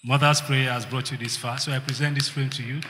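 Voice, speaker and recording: A man's voice: medium pitch, steady tone, brisk, bright, forceful tone, a little hiss or hum. A man with a Nigerian accent giving a short presentation speech in public. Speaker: presenter of a gift.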